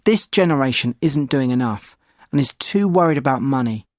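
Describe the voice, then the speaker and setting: A man giving a measured, opinionated sample answer in a scripted exam speaking recording. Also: medium pitch, lively intonation, no room sound, clean studio sound.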